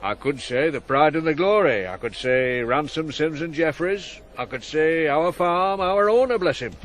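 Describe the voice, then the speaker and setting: An actor playing a kindly older farmer, gentle and plain-spoken, in a radio drama. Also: male voice, on the high side, expressive, moderate pace, slight background noise. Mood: gently encouraging. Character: kindly old farmer encouraging his young ploughman. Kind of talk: naturalistic radio drama acting.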